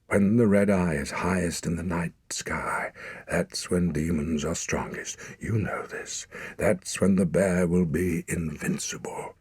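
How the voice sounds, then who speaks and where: A man voicing a dying hunter father, breathless, speaking in faint, halting whispers. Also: low voice, fairly even intonation, a little mellow, breathy edge, dry recording, exaggerated voice. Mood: grave.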